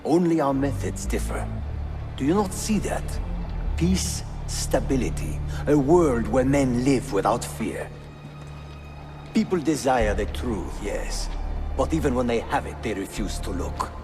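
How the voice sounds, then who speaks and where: A man's voice: medium pitch, animated, unhurried, close to an everyday voice. An actor playing a proud, idealistic Ottoman prince and villain, speaking eloquently and with control in a game cutscene. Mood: earnest, controlled. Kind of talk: dramatic game cutscene acting. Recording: music behind.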